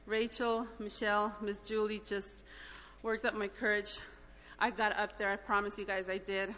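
A woman from El Salvador giving an informal church testimony in a halting, gently earnest, emotional way. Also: mid-range voice, little pitch movement, slight background noise. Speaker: church mission trip member giving a testimony. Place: large indoor church hall, speaking up front. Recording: echoey hall with long reverb.

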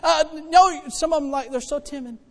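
A man preaching to a congregation in a folksy, conversational way, moving between hushed asides and loud exhortation. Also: very high-pitched, normal speed. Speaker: preacher and church choir director. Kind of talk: animated sermon to a congregation. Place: church hall, preaching to congregation. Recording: reverberant hall with long echo.

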